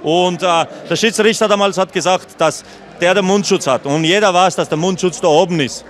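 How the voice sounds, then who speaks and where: Guy in his 30s, post-fight interview, rambly and blunt, kinda high voice, handheld mic. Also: fairly quick. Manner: rambling, blunt, restarts and mixes in English. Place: event venue backdrop, indoors.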